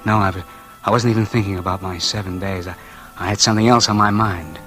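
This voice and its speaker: An actor playing a bitter, numb man in a doomed crime story, in halting, brooding 1940s radio noir style. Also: on the low side, fairly even intonation, fairly bright tone, lightly acted. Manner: halting, brooding first-person delivery. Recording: vintage radio drama, music underneath.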